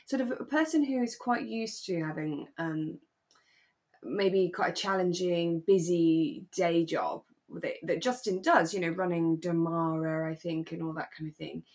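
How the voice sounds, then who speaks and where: A woman's voice: lowish voice, a little mellow. A woman co-hosting a podcast, chatting casually in an effusive, giggly manner about a radio drama. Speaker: podcast co-host.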